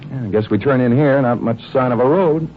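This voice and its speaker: An actor playing a courteous, practical doctor-detective in an easygoing vintage radio mystery style. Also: male voice, medium pitch, a little fast, natural voice. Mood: breezy, practical. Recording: dull, noisy old radio recording.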